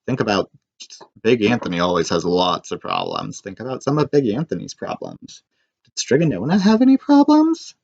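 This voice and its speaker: A man reading a storybook aloud to children in an expressive narrating style. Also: fairly low pitch, normal speed, gravelly, no room sound, dull audio. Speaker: storybook reader.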